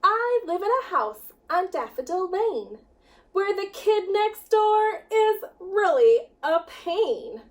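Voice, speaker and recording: A woman reading a picture book to children in an animated, emphatic, sing-song storytelling manner, drawing out words. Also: extremely high pitch, takes it slowly.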